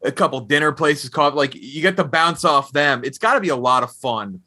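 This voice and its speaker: A man's voice: on the high side, animated, brisk, bright, forceful tone. A man hosting a podcast, chatting casually and informally as he interviews a guest.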